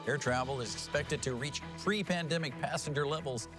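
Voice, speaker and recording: A man's voice: on the high side, brisk, music in the background. A man working as a news anchor, presenting headlines and slipping into casual, jokey banter. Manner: jokey, conversational.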